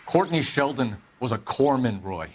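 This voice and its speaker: A man playing a righteous, hard-nosed police detective in a game drama. He speaks forcefully and commandingly at a medium pitch, swinging widely in tone, over faint ambient noise. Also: animated, normal speed, close to an everyday voice. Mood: angry, defiant. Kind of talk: dramatic video game cutscene acting.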